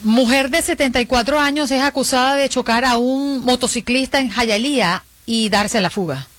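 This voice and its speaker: A woman's voice: sits high, normal speed. A Venezuelan woman co-hosting a morning show, trading playful banter with colleagues, laughing often, then reading news headlines in a broadcast studio.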